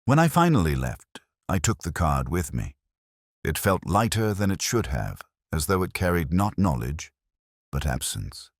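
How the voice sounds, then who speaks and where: A man playing a brooding, erudite police detective, narrating his case as a measured, melancholy, literary first-person mystery story. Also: sits low, sing-song, no echo, clean studio sound, heavily acted. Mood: brooding, reflective.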